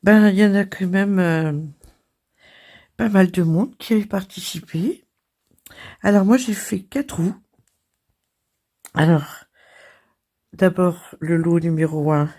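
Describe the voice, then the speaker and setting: Give me a French woman with a cold, husky and slow, chatting casually about her crafts. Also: on the low side, darkish tone. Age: older. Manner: chatty and self-deprecating, laughing and coughing. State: hoarse, has a cold. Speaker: crafting channel host. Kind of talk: casual chat.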